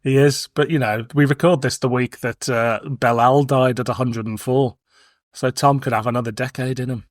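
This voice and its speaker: A man's voice: mid-range voice, fairly even intonation, moderate pace, no room sound, studio quality. A man in his forties hosting a podcast in casual banter, with a wry, playful, teasing manner. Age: 40s. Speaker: podcast host.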